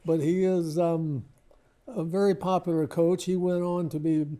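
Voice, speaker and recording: An older man, reminiscing casually as an interview guest in a halting, modest, rambling way. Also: fairly high pitch, fairly even intonation, mellow. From Lebanon, Ohio, United States.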